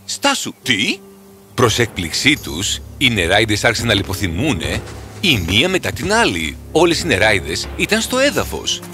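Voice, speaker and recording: Man, fairy tale narrator, dramatic, very animated pitch, bright voice, music underneath. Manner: dramatic, expressive. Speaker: storyteller.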